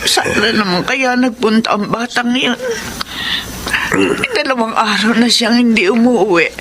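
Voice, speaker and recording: A woman's voice: a little high, a little slow, natural voice. Ailing elderly mother played by an actress, weary and worried, radio drama with heavy noise. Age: older. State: weak, ailing. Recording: very noisy radio drama recording.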